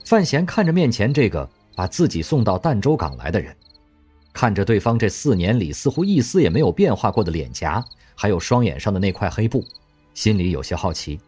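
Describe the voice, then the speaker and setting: A man narrating an audio drama in an expressive storytelling manner. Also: fairly low pitch, a little fast, soft background music.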